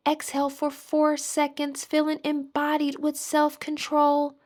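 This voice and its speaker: A woman leading a scripted guided meditation in a soothing, measured manner. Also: high voice.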